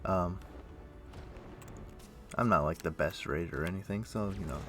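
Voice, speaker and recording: A man livestreaming a game, chatting casually and thinking aloud in a rambling way, with a rough morning voice. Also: sits low, normal speed, over music. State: rough morning voice, throat clearing. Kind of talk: casual chat while playing an online game.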